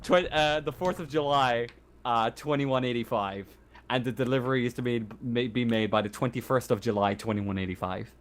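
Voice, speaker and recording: A man's voice: medium pitch, slight background noise. A man speaking as game master of a tabletop game, unscripted, hesitant and often correcting himself. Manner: hesitant, halting, self-correcting.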